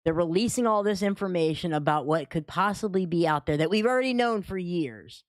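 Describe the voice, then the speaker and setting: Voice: mid-range voice, lively intonation, brisk, dry recording. Casual, slangy speaker, very dry sound. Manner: slangy, swearing, seeks agreement. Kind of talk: casual chat.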